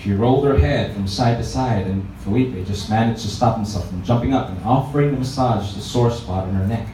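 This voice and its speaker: A man's voice: fairly low pitch, a little fast, warm tone. A man narrating a story aloud expressively, as a book reader. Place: reverberant room at a live reading event. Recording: echoey room, distant mic, faint noise.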